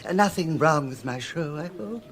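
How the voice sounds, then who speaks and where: An actor playing a jovial, gossipy show impresario and gourmand in a British radio drama, effusive and theatrical. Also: male voice, a little high, lively intonation, normal speed, a bit bright. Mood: jovial, hospitable.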